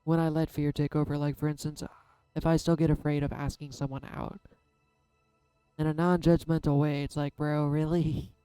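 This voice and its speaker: Soft-spoken man, flat and mellow. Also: on the high side, moderate pace, clean tone, no echo, faint music behind, low-quality recording. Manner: candid, self-deprecating, musing aloud. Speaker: tarot reader. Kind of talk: casual reflective vlog.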